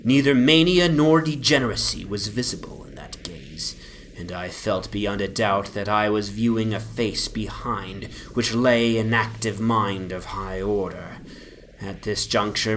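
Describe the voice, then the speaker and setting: Deep-ish guy reading an old horror story real solemn and deliberate, ambient noise behind him. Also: fairly bright tone. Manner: solemn, literary, deliberate phrasing. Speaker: narrator. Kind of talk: dramatic horror story narration. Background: ambient soundscape.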